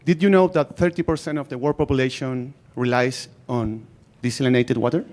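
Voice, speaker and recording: A man from Chile with a Chilean accent and native Spanish gives a rehearsed startup pitch in short, emphatic phrases. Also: fairly even intonation, fairly quick, a little mellow. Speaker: startup founder presenting.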